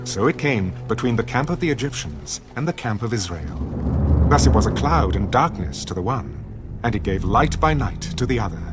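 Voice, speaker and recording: A man giving solemn, measured scripted narration for a dramatized audio Bible. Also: fairly low pitch, very animated. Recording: loud dramatic music bed.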